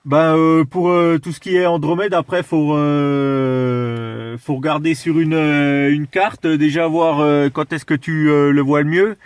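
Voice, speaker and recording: A man's voice: a little high, steady tone, clear, resonant tone, dry recording. Hesitant man chatting, dry close sound. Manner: hesitant, halting, searching for words. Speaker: amateur radio operator. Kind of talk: casual chat over CB radio.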